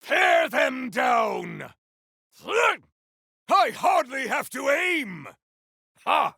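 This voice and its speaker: A man voicing a proud, battle-hungry video game warrior, speaking in a theatrical, formal, declamatory manner with a gravelly, forceful edge. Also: very high-pitched, big pitch swings, very slow, dry recording, studio quality, full character voice. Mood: battle fury. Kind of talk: video game combat barks.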